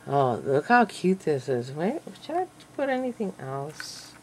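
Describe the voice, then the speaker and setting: A woman with a croaky voice, feeling like she's getting sick, musing aloud and gushing over her craft project at a table indoors. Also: sits low, big pitch swings, measured pace, slight background noise. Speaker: craft channel host. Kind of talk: casual crafting commentary.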